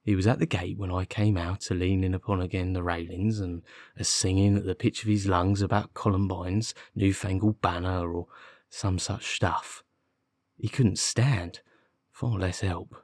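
A man's voice: low voice, steady tone, normal speed, darkish tone, dry recording, clean studio sound. A man voicing a defensive, dim working-class police constable with colloquial, ungrammatical speech in an audiobook. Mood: amused. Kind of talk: audiobook character dialogue.

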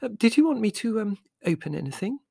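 A man's voice: sits high, very animated, fast, gravelly, warm tone, breathy edge, dry recording, studio quality, voice pushed up, exaggerated voice. A man doing a twittering, flustered English mother. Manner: twittering, hesitant, anxious. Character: flustered genteel middle-aged English mother.

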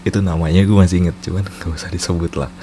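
A man with a low, mellow voice and a Jakarta accent talks casually as a storyteller. He mixes slang with bits of English and pokes fun at himself. A clear hum and noise sit behind the voice. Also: fairly even intonation, fast talker. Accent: Jakarta Indonesian. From Indonesia, Jakarta. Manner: self-mocking, informal slang. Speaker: storyteller host. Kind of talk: casual personal storytelling with English code-switching.

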